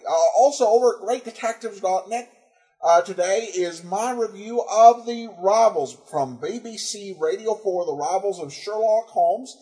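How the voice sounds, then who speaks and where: A man's voice: sits high, dark tone. A man with an American accent hosting a podcast, giving informal introductions and announcements. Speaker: podcast host.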